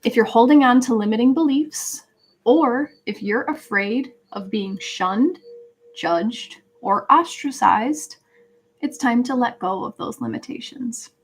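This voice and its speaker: A woman's voice: fairly high pitch, unhurried, breathy edge, low-quality recording. A woman hosting a live spiritual card reading, speaking conversationally and earnestly as she guides listeners and reads out messages. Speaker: live tarot reader and host. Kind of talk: casual livestream chat with card reading.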